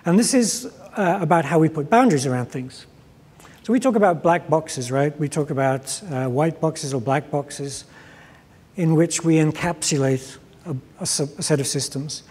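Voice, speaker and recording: Male keynote speaker, middle-aged, slightly high-pitched and husky, measured explanatory delivery, big reverberant hall. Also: darkish tone. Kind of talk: conference keynote, explanatory lecture. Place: large conference stage, indoors. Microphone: clip-on lapel mic.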